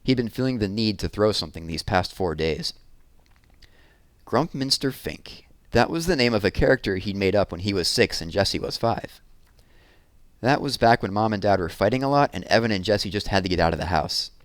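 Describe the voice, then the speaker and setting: A man with a low voice reads a children's novel aloud. His narration is expressive, and the recording is close and dry, with very little room sound. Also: moderate pace, no room sound. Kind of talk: expressive children's chapter book read-aloud.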